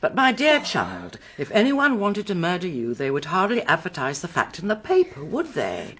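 Older English householder, brisk, sensible, husky, lively intonation, radio drama. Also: female voice, lowish voice, full character voice. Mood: amused, reassuring. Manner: brisk, no-nonsense.

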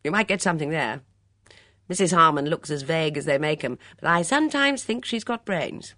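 A woman reader acts out a brusque, commanding countrywoman in an audiobook. She is blunt and loud, with clipped, no-nonsense phrasing. Her pitch moves around boldly in a close, dry recording. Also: expressive, fairly quick, dry recording. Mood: dry, bossy. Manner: blunt, bellowing, clipped upper-class slang.

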